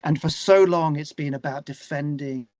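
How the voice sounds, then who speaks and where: Middle aged guy chatting in an interview, kinda high voice, earnest, stop-start, dry but slightly muffled audio. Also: darkish tone, no room sound. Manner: earnest, halting, with emphatic stresses. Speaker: guest, singer-songwriter. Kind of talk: conversational interview, reflective. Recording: slightly dull, lo-fi conversation audio.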